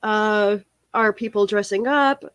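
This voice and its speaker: A woman's voice: mid-range voice, takes it slowly, a bit bright, clear, resonant tone, dry recording. A young woman with a cold and a cracking voice, hosting a live stream in a rambling, chatty way. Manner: rambling, chatty, answers viewer comments. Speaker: live stream podcast host. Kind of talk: casual live stream chat.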